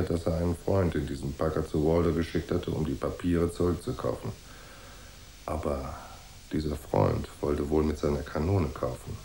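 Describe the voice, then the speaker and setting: An actor playing a cool, unflappable private detective who narrates a noir radio drama in a dry, laconic way. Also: male voice, very deep voice, creaky, dark tone, slight background noise. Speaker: first-person narrator and detective.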